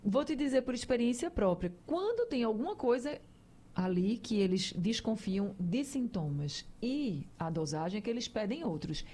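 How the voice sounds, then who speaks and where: A woman's voice: fairly high pitch, sing-song, moderate pace, mellow. A woman hosting a radio health talk show, explaining things to listeners in a chatty, conversational way.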